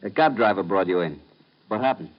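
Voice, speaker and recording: An actor playing a formal, businesslike police lieutenant in a 1950s radio drama. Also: male voice, sits low, expressive, a little fast. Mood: formal, concerned. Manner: formal, precise English. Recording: muffled, faintly noisy vintage radio.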